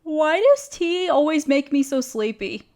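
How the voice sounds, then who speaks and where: A woman's voice: high voice, expressive, a little slow, darkish tone. A woman playing an overworked, apologetic young woman confiding hesitantly in a close friend in an intimate audio roleplay. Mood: sheepish, warming. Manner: self-deprecating, hesitant, casual. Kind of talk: roleplay audio drama, intimate one-sided conversation.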